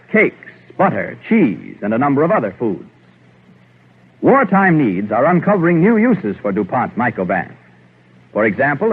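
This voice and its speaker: An American male announcer reads formal sponsor copy with a very animated, rising and falling pitch. His voice is husky, and the sound is a narrow, muffled forties radio recording. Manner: formal, measured announcer delivery. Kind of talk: scripted 1940s radio sponsor narration.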